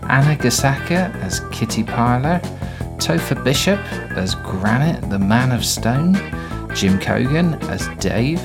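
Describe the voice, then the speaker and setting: A man's voice: medium pitch, big pitch swings, a little slow, creaky. A man hosting a podcast, reading a scripted outro and credits. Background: plucked guitar music.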